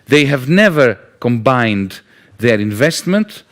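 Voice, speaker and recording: A man's voice: medium pitch, big pitch swings, slow. A man speaking in a played interview clip, wry and amused in tone.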